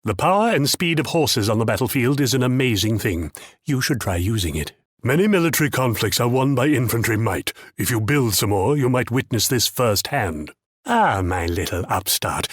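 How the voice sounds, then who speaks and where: An actor playing a haughty, shrewd Dutch military commander in a strategy game, pompous, theatrical and patronizing. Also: male voice, medium pitch, husky, no echo, clean studio sound. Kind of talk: scripted strategy game character lines.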